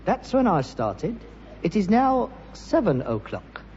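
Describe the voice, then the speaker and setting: A put-upon London rag-and-bone man with pretentious airs. His high voice swings widely with theatrical exasperation, on a noisy old British radio comedy recording with an audience. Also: huge pitch swings, slow pace, darkish tone, long reverb tail, exaggerated voice. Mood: weary exasperation. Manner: theatrical, sarcastic, self-consciously grandiose. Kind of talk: 1960s British radio sitcom acting. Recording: dull noisy archive recording, studio audience.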